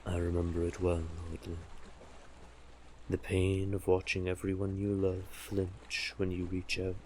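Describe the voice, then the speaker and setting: Man playing a slow, deep-voiced, coaxing sea witch. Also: completely flat delivery, a little mellow, a little hiss or hum. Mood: soothing, grave. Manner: slow, teasing, condescending endearments, deliberate pauses. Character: cunning, coaxing male sea witch in a coastal cave. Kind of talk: scripted roleplay audio drama, addressing the listener. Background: ambient sound effects.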